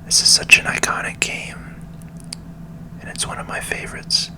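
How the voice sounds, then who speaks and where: A person whispering calm ASMR commentary over a video game, close to the microphone. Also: low-quality recording. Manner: slow, calming.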